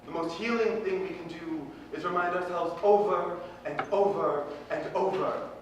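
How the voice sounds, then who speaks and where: A man performing spoken-word poetry with impassioned, deliberate, earnest phrasing. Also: sits high. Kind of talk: slam poetry recitation, dramatic and earnest. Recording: very echoey room, distant mic, faint noise.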